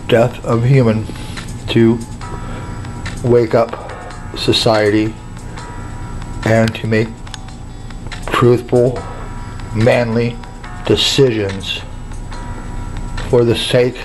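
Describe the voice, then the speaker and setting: A man giving a dramatized first-person testimonial, speaking solemnly in short, halting, emphatic phrases. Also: mid-range voice, low-quality recording. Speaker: narrator telling his own family's case.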